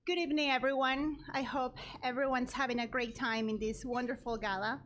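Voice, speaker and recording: A woman, giving an earnest, measured personal speech at a gala. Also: sits high, fairly even intonation, a bit bright, slight background noise. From Ecuador. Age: 30s. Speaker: guest speaker.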